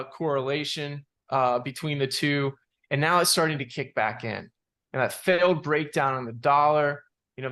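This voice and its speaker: A man giving unscripted analysis as a market analyst, in a halting way, repeating words and padding with "you know". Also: fairly high pitch, fairly bright tone. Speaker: market analyst presenting charts. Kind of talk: unscripted financial chart commentary.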